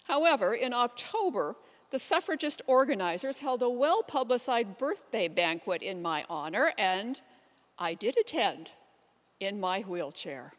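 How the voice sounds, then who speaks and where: A woman playing a determined, plain-spoken pioneer suffragist, telling her life story in measured readers theater style. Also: fairly high pitch, big pitch swings. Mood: proud, reflective. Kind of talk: readers theater first-person monologue. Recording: reverberant hall sound.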